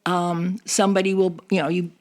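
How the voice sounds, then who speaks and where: A woman's voice: on the low side, flat delivery, fairly quick. An older woman chatting casually as a guest, announcing details of a church seniors group.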